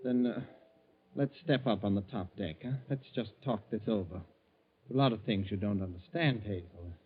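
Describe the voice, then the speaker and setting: An actor playing a nervous, scheming middle-aged embezzler who hesitates and stammers, in 1940s radio style. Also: male voice, lowish voice, moderate pace, faint music behind, lightly acted. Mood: coolly scheming. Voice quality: hesitant, stammering under pressure. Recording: tinny, band-limited 1940s radio recording.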